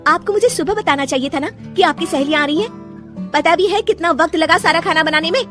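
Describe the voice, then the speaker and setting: A frustrated young wife in a cartoon family drama, acted by a woman. Her voice is extremely high and plaintive, with a curt edge, over faint music. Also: brisk, fairly bright tone. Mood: irritated. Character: unhappy young daughter-in-law, frustrated would-be painter. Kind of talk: Hindi cartoon moral story acting.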